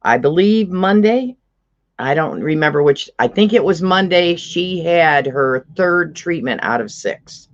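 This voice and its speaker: A middle-aged woman with a deep voice, hosting a casual livestream chat, chatty and laughing often as she reads out comments. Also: animated, measured pace, muffled recording. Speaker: livestream host.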